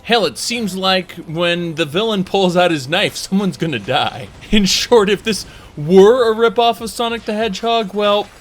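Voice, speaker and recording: A man delivering an informal video game review in a conversational, emphatic way, pausing for dramatic effect. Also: high voice. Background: music under the voice.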